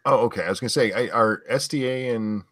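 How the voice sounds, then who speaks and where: A man's voice: mid-range voice, fast, fairly bright tone. A man in his forties co-hosting a casual online chat show, trading playful jokes. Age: 40s. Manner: playful, jokey banter. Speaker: livestream co-host.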